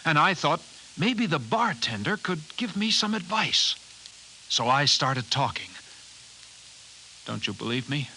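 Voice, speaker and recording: An actor playing a timid, anxious Martian in a man's body, with halting, oddly formal speech, in 1950s radio drama style. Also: a little high, big pitch swings, bright, forceful tone, full character voice, poor audio. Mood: insistent. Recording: hissy 1950s radio recording.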